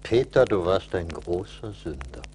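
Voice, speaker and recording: An actor playing a stern but forgiving little forest spirit, solemn and admonishing, in a radio play. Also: male voice, sits low, big pitch swings, unhurried, a little mellow, slight background noise. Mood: solemn reproach. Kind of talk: theatrical radio play acting.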